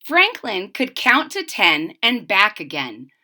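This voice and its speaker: A woman reading a storybook aloud for children, expressive and child-directed, adding simple explanatory asides. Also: medium pitch, takes it slowly. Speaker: storybook reader.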